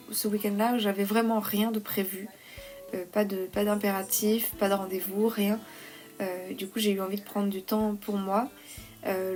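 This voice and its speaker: A young woman with a slight head cold, talking softly and hesitantly in a calm, cosy vlog voice-over. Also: medium pitch. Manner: soft, hesitant, many "euh" fillers. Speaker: vlogger, freelance illustrator.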